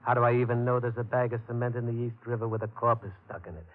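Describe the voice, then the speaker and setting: A man's voice: mid-range voice, level tone, quick pace, close to an everyday voice. An actor playing a blunt, menacing, wisecracking American gangster henchman in an old-time radio drama. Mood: suspicious, bossy. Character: gruff, menacing, suspicious male gangster henchman. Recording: tinny, noisy old radio recording.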